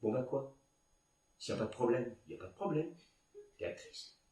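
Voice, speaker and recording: A man playing a volatile, domineering theatre director in a theatrical, hectoring, repetitive way, swinging between hushed intensity and shouting. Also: medium pitch, expressive, a little fast, close to an everyday voice. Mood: pushy, controlling. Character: domineering, volatile male theatre director berating his actress. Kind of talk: theatrical stage acting. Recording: roomy, distant mic with background noise.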